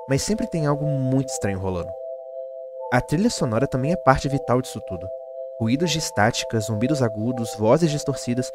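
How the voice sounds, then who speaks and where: A man with a Brazilian accent delivering scripted explainer narration in the manner of a video essay. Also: medium pitch. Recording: music bed under the voice. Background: background music.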